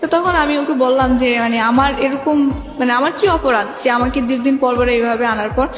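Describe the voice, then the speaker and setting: A young woman with a Bangladeshi accent telling her life story in a radio interview, halting and conversational, acting out remembered exchanges. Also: high voice. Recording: echoey reverb, faint music behind. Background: faint music.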